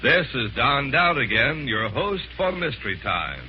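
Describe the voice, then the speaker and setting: A man's voice: medium pitch, big pitch swings, measured pace, slight background noise. A man hosting a radio show, announcing in a mid-century broadcast style. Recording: dull vintage radio recording with music. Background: music.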